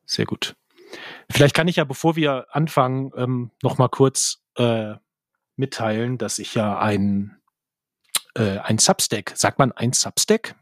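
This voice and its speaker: A man's voice: mid-range voice, measured pace, dry recording, studio quality. A man co-hosting a casual podcast from a hotel room, chatty and laughing often, with hesitant fillers. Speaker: podcast co-host. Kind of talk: casual chat. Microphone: headphones and desk mic.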